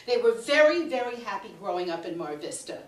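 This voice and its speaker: An American woman in her sixties reading a comic monologue on stage, theatrical and emphatic, with deliberate pauses. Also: mid-range voice, big pitch swings. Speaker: humorous storyteller. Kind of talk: live comic monologue read to an audience. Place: small stage venue with curtains. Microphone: microphone on a stand. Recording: echoey stage recording, distant, audience noise. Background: audience laughter, loud noise.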